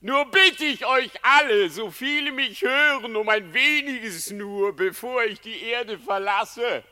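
A radio-play fox, cunning and condemned. He declaims his pious confession in a high, forceful voice, drawing it out slowly, with long hall reverb trailing behind. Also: heavily acted. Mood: solemn pleading. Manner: declamatory, solemn, drawn-out public confession. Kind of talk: classic German radio play acting in verse.

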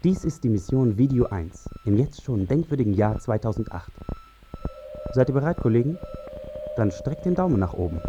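An actor playing a commanding male astronaut and mission leader in a radio play, with declamatory, deliberate delivery. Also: medium pitch, very animated, lightly acted. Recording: dull sound under loud music.

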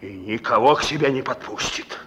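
Husky, defiant young ex-thief. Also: male voice, medium pitch, fairly quick, bright, forceful tone. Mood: guarded, stern. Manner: gruff, slangy, insistent. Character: young reformed thief, trainee driver, defiant and hot-tempered. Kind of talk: Russian radio drama acting. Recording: old radio play recording.

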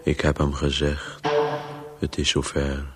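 An actor playing a weary, urgent disembodied voice in a radio play, speaking in breathless, halting fragments with a hushed, husky tone. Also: male voice, a bass voice, completely flat delivery, faint music behind, heavily acted. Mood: weary, obsessive. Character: disembodied male Voice, obsessively chasing a story, weary and urgent.

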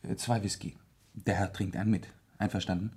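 Gravelly, courteous gentleman lord. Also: lowish voice, fairly even intonation, a little hiss or hum. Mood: measured, probing. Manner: measured, probing, polite. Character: courteous, inquisitive English gentleman lord hearing a story. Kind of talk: radio play acting.

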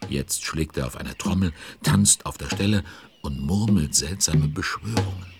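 A man's voice: low voice, moderate pace, music in the background. A man acting as the kindly narrator of a children's audio play, telling the story in a cosy, confiding way. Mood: intrigued.

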